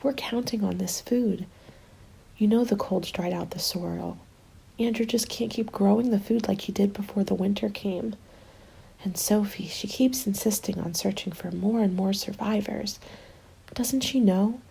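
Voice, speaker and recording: A woman playing a weary survivor of a zombie winter in a soft, breathy, confiding ASMR roleplay. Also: mid-range voice, unhurried, full character voice, poor audio. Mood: frustrated, defensive.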